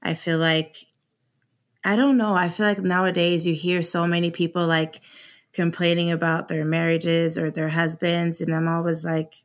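A woman's voice: on the low side, little pitch movement, moderate pace. A woman in her thirties from Sweden, a podcast guest talking openly and casually with frequent laughs and fillers. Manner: open, laughs easily, many fillers.

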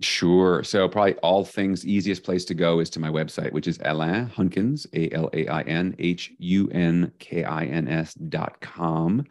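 A man being interviewed as an author on leadership, talking in an energetic, emphatic way. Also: low voice, a little slow, hardly any pauses, no echo, clean studio sound. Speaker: podcast guest, leadership author. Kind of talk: casual interview talk.

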